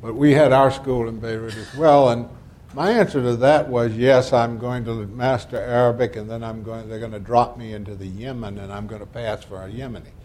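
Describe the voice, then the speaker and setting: A man's voice: mid-range voice, steady tone, a little fast, a little mellow, a little hiss or hum. An older man on a panel answering an audience question, telling anecdotes with wry asides and acting out quoted exchanges. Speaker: panelist, former diplomat. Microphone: panel microphone.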